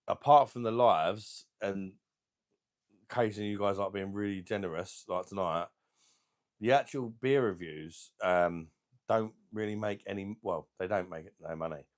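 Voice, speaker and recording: A middle-aged man with a deep voice and a sore throat, chatting casually as he hosts a beer channel livestream and reads out viewer comments in a rambling, laid-back way. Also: a little slow, long pauses. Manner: rambling, laid-back, chuckling between remarks. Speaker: beer review channel host.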